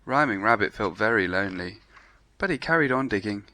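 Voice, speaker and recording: Male storybook reader, bright clear-cut tone, measured narration for young children. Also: on the low side, expressive. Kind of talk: children's story read aloud.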